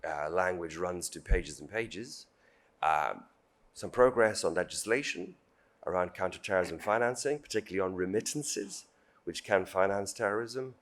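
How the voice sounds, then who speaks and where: A British man speaking as a government official on a panel, measured and diplomatic, repeating phrases for emphasis. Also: sits low, a bit bright. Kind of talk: formal panel remarks.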